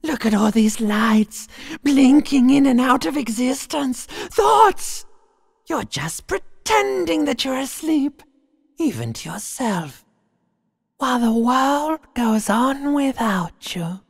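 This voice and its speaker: A man voicing a video game's sinister inner voice of a wrecked body, raspy, breathy and strained, mocking and cruelly intimate in a theatrical way, often dropping to a whisper. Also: very high voice, very animated, slow pace, full character voice. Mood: taunting. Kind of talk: second-person video game voice acting. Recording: long cavernous reverb.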